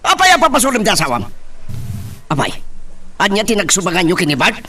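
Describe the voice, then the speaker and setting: A man playing a stern Ilocano father in an audio drama. He scolds emphatically in a high male voice that leaps up and down with exasperation. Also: wildly swooping pitch, a little fast, sharp tone, heavily acted. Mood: angry scolding. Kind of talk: Ilocano radio-style drama acting.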